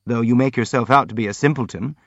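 A man voicing a mocking, malevolent young man in an audiobook, with drawn-out sarcastic emphasis. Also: medium pitch, a bit bright, no room sound, dull audio. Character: malevolent, mocking young nephew, sneering and insolent.

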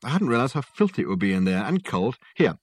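A man's voice: medium pitch, very animated, fast talker, slightly rough, no echo. A man voicing an indignant, literal-minded programmer in a storybook, always justifying himself. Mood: sheepish. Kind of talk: audiobook character dialogue.

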